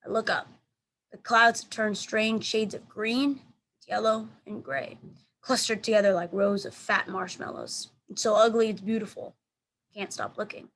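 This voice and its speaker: A woman teacher reading a children's storybook aloud in expressive narration. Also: medium pitch, moderate pace, rough recording. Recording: clear background noise.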